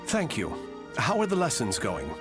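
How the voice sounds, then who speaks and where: Game nobleman swordsman: polished, formal, devoted but scheming, highly animated intonation over prominent music. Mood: polite, firm. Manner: formal, eloquent, measured diction. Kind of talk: video game cutscene acting.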